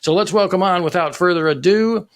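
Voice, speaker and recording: An upbeat man hosts a relaxed interview chat. He greets his guests eagerly and keeps the conversation friendly and casual. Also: high-pitched, fairly quick. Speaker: podcast host.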